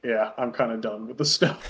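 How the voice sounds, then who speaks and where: A man chatting casually as a podcast guest, telling anecdotes in an animated, halting way and often chuckling. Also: mid-range voice. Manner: animated storytelling, frequent chuckling, halting self-corrections. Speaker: podcast guest, parody web series creator.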